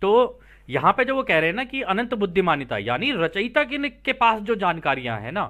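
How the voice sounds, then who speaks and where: A man's voice: high-pitched, very animated, normal speed, fairly bright tone. A man hosting an explainer, reading out a translated text and breaking off to explain it casually, often checking in with listeners. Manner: explanatory, addresses listeners directly with frequent check-in tags. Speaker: host and explainer.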